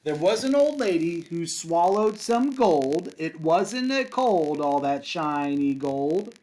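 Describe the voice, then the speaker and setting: A man reads a storybook to young children in a fairly high voice that swings widely in pitch. He sounds like a kindergarten teacher, sing-song on the rhymes and encouraging in his casual asides. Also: big pitch swings, slow, darkish tone, rough recording. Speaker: kindergarten teacher reading a picture book aloud.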